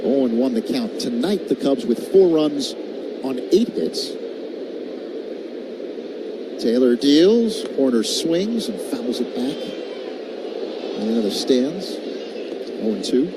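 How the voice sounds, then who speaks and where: A man's voice: medium pitch, very animated, slow pace, long pauses. Noisy radio broadcast, American baseball announcer man. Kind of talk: live radio play-by-play commentary. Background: loud stadium ambience.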